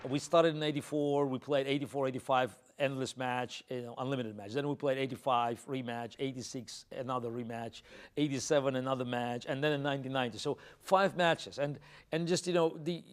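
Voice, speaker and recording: Halting middle-aged man, interview guest. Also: mid-range voice, steady tone, clear, resonant tone. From Azerbaijan. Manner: halting, full of fillers and restarts. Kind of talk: reflective interview answers.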